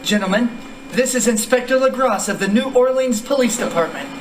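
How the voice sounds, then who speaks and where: A man acting a courteous, inquisitive professor with a high male voice, in radio-drama style. He sounds distant in an echoey space with faint noise. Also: a little fast. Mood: genial, polite.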